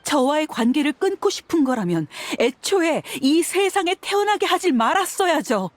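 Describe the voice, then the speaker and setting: A woman's voice: high voice, sing-song, moderate pace, dry recording. A woman voicing a tough young mercenary in a video game, blunt and firm, proud with a bitter edge. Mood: bitter, angry. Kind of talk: dubbed video game acting.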